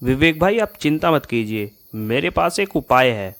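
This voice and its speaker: A man's voice: medium pitch, expressive, moderate pace, a bit bright. A man playing a suspicious, grumbling male customer in a Hindi cartoon tale, insistent and accusing in manner. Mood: scheming. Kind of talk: Hindi moral-story cartoon dialogue. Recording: music behind the voice.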